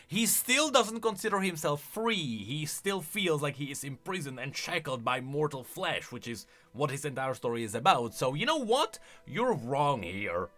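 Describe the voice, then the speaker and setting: A man giving casual, opinionated commentary as a gaming YouTuber, explaining as he goes in an animated way. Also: fairly high pitch. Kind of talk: casual commentary on game voice lines. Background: faint music.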